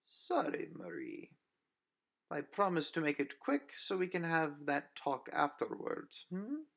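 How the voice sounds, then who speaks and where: A menacing vampire villainess from an audio story, acted out by a male narrator. The voice is raised well above his usual pitch, condescending and slyly amused, toying with whoever is listening. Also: huge pitch swings, slow, creaky, fairly bright tone, heavily acted. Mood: mock sweet. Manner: mocking, condescending. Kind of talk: audio story character dialogue.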